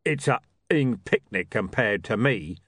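A man voicing a menacing, brutish criminal henchman with a rumbling delivery. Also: lively intonation, measured pace, a bit bright, slightly rough, no room sound, heavily acted.

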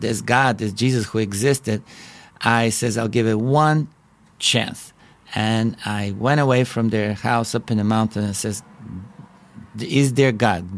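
A middle-aged man from Bulgaria with a Bulgarian accent, telling his personal story as a podcast host in an earnest, halting way with frequent restarts. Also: mid-range voice. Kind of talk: personal testimony.

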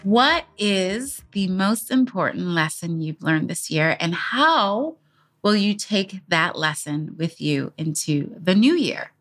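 A woman's voice: on the low side, very animated, slow, fairly bright tone, faint music behind. A woman co-hosting a podcast, introducing and posing questions in a conversational style. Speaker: podcast co-host.

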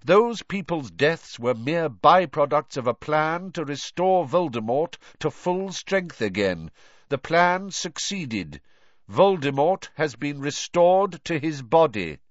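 A grave, wise elderly wizard, steady and firm when he speaks, as a male reader puts him on. His voice sits a little raised and even-handed over a dull lo-fi recording. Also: a little high, slow, dry recording, pitched above the performer's own voice.

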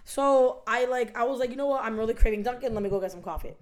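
A young woman in casual chat, her voice loud and animated and often breaking into laughter. She sits a little above the usual female range as she tells a story to a friend. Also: fairly high pitch, fast. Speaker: podcast host.

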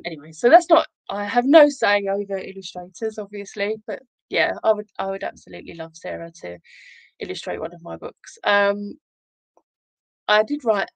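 A woman's voice: mid-range voice, gravelly, no room sound, poor audio. A woman hosting a casual livestream, chatting in a rambling, self-deprecating and effusive way. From Essex, England. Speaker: live show host, children's author.

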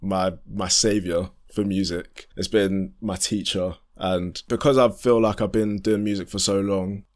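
A young man, a musician chatting casually in an interview, relaxed and full of fillers and restarts. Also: a little slow, few pauses. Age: young adult. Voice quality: deep voice. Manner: relaxed, rambling, many fillers and restarts, laughs often. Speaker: independent musician guest.

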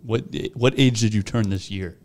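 A young man on a podcast with a low-pitched voice. He teases his co-host, cuts in with short pointed questions, and pushes back on every answer. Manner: teasing, pushy with quick follow-up questions. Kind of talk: casual chat.

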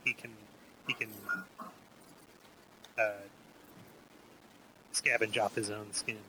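A man playing a tabletop game who talks in a hushed, hesitant, near-whispered way. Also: fairly low pitch, level tone, slow pace, a little hiss or hum, low-quality recording. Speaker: player. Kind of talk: casual table talk.

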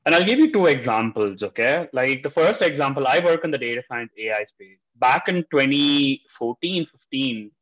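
A man co-hosting an interview, asking long questions that he builds around worked examples. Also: medium pitch. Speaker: podcast co-host. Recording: dull lo-fi audio.

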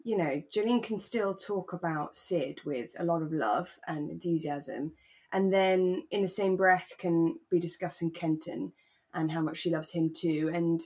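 A woman with a slightly low voice recaps a story as a podcast co-host. Her delivery is casual and conversational, like relaxed talk between two people. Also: a little slow, husky. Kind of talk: relaxed casual chat recapping a radio drama.

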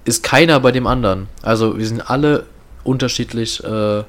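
A man's voice: medium pitch, moderate pace. A man co-hosting a podcast in casual chat, rambling as he explains things. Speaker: podcast co-host. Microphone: own home microphone setup.

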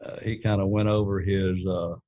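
Deep, flat-voiced man with hollow tone. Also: moderate pace, no echo, lo-fi sound. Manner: rambling, full of restarts and fillers. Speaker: gun company leader. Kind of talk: casual interview storytelling.

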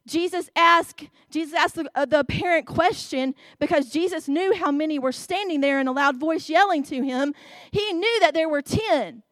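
A woman with a Southern US accent preaching a sermon, stressing her words slowly and with emphasis. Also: high voice, a bit bright, no room sound.

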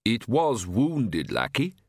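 A man's voice: mid-range voice, lively intonation, moderate pace, fairly bright tone, no room sound, clean studio sound, natural voice. A man voicing an urbane vampire father, parental and pompous, placating with thinly hidden exasperation, in an audiobook. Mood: conciliatory. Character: urbane vampire father, patronizing, conciliatory head of family.

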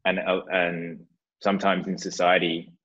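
A man co-hosting a casual podcast, thinking aloud in loose, drawn-out phrases with fillers. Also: low-pitched, little pitch movement, fairly bright tone, a little hiss or hum. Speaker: podcast co-host. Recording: dull lo-fi sound.

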